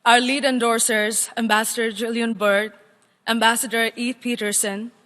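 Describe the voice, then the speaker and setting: A young woman from Nepal, a youth chair giving a formal welcome speech in a large assembly hall. She speaks with earnest, deliberate oratory and builds long lists of phrases. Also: fairly high pitch, little pitch movement, a bit bright. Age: young adult.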